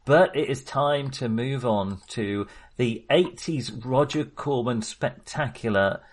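A man's voice: medium pitch, takes it slowly. A man discussing films casually as a podcast host, in a chatty, hesitant, rambling way.

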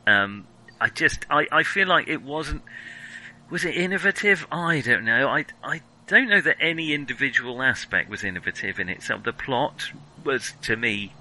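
A man's voice: mid-range voice, animated, moderate pace, pushed and bright, rough recording. A man co-hosting a film podcast in casual discussion, hesitant and self-questioning yet blunt in his opinions. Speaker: podcast co-host reviewing films.

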